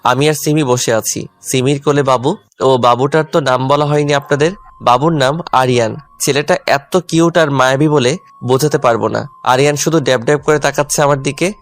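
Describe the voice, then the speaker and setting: A man's voice: mid-range voice, fairly even intonation, fairly quick. A man narrating a first-person love story as a dramatised audio tale. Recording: music under the voice.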